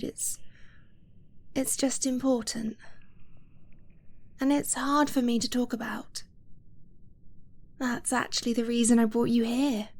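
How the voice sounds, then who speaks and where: A woman playing an affectionate, nervous dragon-blooded girlfriend in a romantic ASMR roleplay, soft and breathy, often slipping toward a whisper with gentle hesitation. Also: sits high. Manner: slow, gentle, hesitant, intimate.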